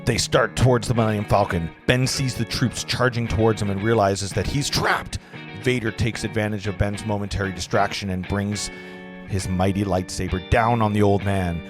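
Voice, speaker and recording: A man's voice: on the low side, fairly even intonation, moderate pace. A man acting as narrator at a table read, reading screenplay stage directions aloud earnestly, with the odd stumble. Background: music.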